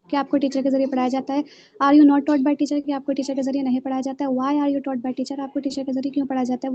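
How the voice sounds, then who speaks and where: A woman's voice: high-pitched, dark tone, highs cut off. A female student reciting English passive-voice drills with Hindi translations, speeding up as she goes. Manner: stumbles at first, then rattles off quickly.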